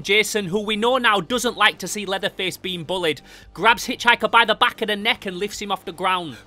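Bright, high-pitched young male reviewer. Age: young adult. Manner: sweary, emphatic. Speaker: host and comic reviewer. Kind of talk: enthusiastic comic review narration.

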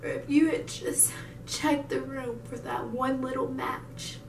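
A woman playing a grieving, unhinged mother in a dramatic monologue, halting and emphatic as she confronts her psychiatrist. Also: fairly even intonation, vocal fry. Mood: hushed, bitter. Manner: halting, emphatic, swinging between hushed and wailing. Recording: roomy, distant mic, noisy with rumble.

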